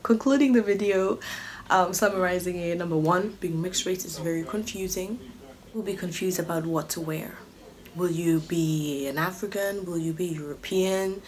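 A woman in her twenties from Kenya, telling stories casually to camera in an animated manner, recorded at home. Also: lowish voice, normal speed. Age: 20s. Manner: animated, acts out other people's remarks. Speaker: vlogger. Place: small furnished living room or bedroom, indoors. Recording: clearly noisy home room recording.

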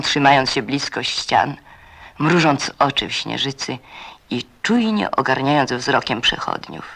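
A narrator reading a radio drama in a measured, suspenseful way, hushed at times. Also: medium pitch, gravelly, slightly rough.

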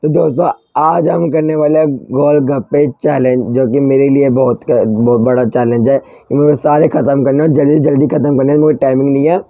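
A man vlogging a food challenge in a chatty way, talking to viewers as friends, with a hoarse, strained throat, recorded at home. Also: mid-range voice, steady tone, brisk, hardly any pauses, dark tone, no room sound, dull audio. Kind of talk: casual food-challenge vlog.

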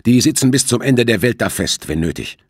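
A man's voice: mid-range voice, animated, brisk, breathy edge, no room sound, studio quality, voice pushed up. A man voicing a greedy, threatening male criminal in an audiobook, agitated and impatient. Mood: grudging.

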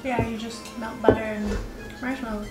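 A woman's voice: fairly high pitch, little pitch movement, normal speed. A young woman co-hosting a casual baking vlog at home, playful and giggly, in no hurry. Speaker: vlog co-host. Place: home kitchen indoors. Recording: echoey kitchen with music over it.

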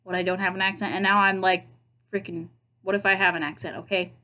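A woman's voice: medium pitch, flat delivery, fast, pushed and bright. Chatty young woman with a Nebraska accent. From Nebraska, USA. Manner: chatty, self-mocking, playful asides. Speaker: vlogger. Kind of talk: casual accent tag video. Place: bedroom at home, indoors. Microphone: laptop webcam.

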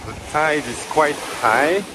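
A man's voice: on the high side, takes it slowly. A man casually commenting as he films a walking tour on a beach, chatting in slightly non-native phrasing with fillers. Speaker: travel vlogger. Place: outdoors on a tropical beach. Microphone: handheld phone camera. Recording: outdoor phone audio with surf noise. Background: waves and wind.

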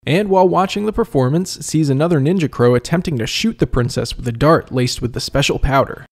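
A man's voice: a little high, fairly quick. A man narrating a scripted review as a video host, conversational with wry asides.

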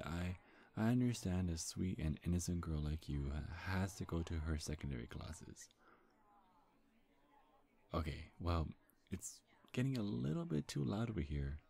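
A man's voice: low-pitched, creaky, mellow, heavily acted. A man playing a charming, teasing demon seducer in an intimate ASMR roleplay, sly and flirtatious, with hesitant stammers. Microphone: close, dry microphone.

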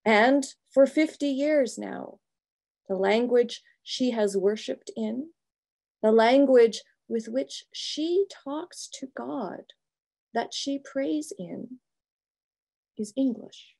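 A middle-aged woman pastor giving a reflective, prepared talk from a home office over a video call, measured and thoughtful, pausing between phrases. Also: a little high, gravelly, a little mellow. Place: home office with bookshelves, indoors.

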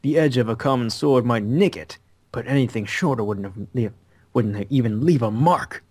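A man's voice: medium pitch, moderate pace, darkish tone. A young adult man reading story narration aloud in an amateur style, on a headset in a small room.

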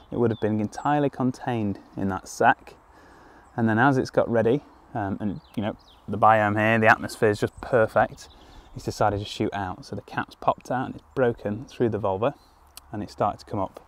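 A young man speaks in a lowish voice, calmly walking through how to identify what he's found. He talks easygoing and explanatory, with plenty of ums and restarts. He is crouched outdoors in a woodland clearing, recorded by a camera a few metres off. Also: moderate pace. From United Kingdom. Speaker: foraging guide. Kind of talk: outdoor teaching walkthrough. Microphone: camera on a tripod at a few metres, crouching in frame.

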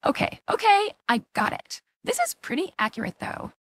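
A young female game character, voiced by a woman through an AI voice changer. The voice is high with a lively, moving pitch. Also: moderate pace, full character voice. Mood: demonstrating.

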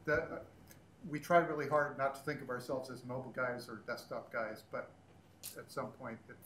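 A man speaking off the cuff as a panelist, halting and often correcting himself. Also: mid-range voice, fairly even intonation, a bit bright. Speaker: panelist, standards group member. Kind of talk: unscripted panel discussion. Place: conference room panel before seated audience. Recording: echoey room, faint background noise.

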